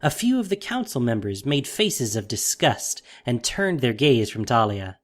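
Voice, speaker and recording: A man's voice: medium pitch, sing-song, moderate pace. A man narrating a fantasy audio drama in a dramatic, scripted storytelling style.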